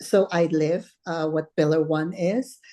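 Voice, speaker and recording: A woman's voice: low-pitched, steady tone, a little slow, dark tone. A woman who chairs the committee and runs a family childcare, introducing herself casually at a video meeting with frequent filler sounds. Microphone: video call.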